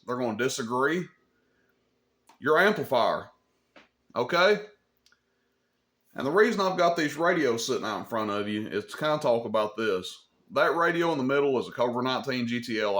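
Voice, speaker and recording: A man's voice: medium pitch, a bit bright. Plain-spoken man giving hobby advice. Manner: opinionated, plain-spoken explaining, often checking with "okay?". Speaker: host of a CB radio advice channel. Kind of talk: informal hobby tutorial talk.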